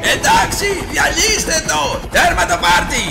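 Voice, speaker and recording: A man voicing a short, bossy, irate hero's trainer in an animated film fan dub, gravelly and barking orders. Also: extremely high pitch, music in the background, exaggerated voice. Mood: furious.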